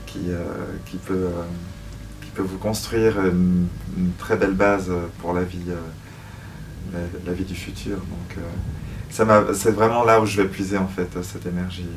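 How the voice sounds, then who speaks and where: A man's voice: deep voice, flat delivery, normal speed, far from the mic, a little hiss or hum, low-quality recording. A man giving reflective interview answers as a guest, hesitant and searching for words. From Switzerland (Lausanne), born in Paris. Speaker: musical theatre actor, interview guest.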